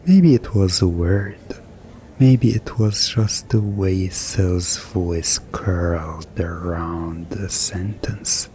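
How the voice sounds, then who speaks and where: Male hypnotist, ASMR roleplay. Extremely unhurried, hushed and soothing, with a faint noise bed behind him. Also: on the low side. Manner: soothing, hushed, drifting in short phrases with pauses. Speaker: hypnotist in a collaborative audio roleplay. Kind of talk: hypnosis ASMR, slow guided descent.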